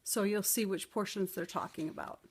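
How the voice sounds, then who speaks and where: A woman reading a children's picture book aloud as a storytime teacher, expressive and explanatory. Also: mid-range voice, fairly quick, breathy edge.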